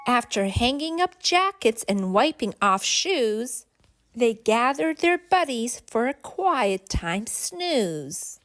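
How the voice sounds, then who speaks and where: A woman reading a rhyming picture book to preschoolers in an expressive, deliberate, sing-song storytelling way. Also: on the high side. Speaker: children's story reader and channel host.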